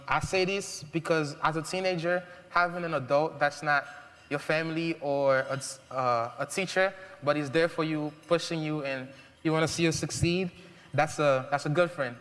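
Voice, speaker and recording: A man's voice: fairly high pitch, fairly bright tone. A young man from Haiti speaking earnestly on a panel, with many ums and uhs. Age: young adult. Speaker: mentee. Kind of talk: prepared speech on a panel. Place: large event hall. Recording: long hall reverb.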